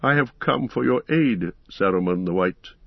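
Man doing a tired old wizard, low husky voice, calm and measured. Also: no room sound, muffled recording. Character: weary, grave old male wizard.

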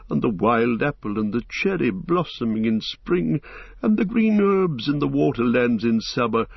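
A man voicing an ancient, slow-spoken male tree giant, unhurried and musing, with a gravelly, husky voice. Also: mid-range voice, dry recording, highs cut off.

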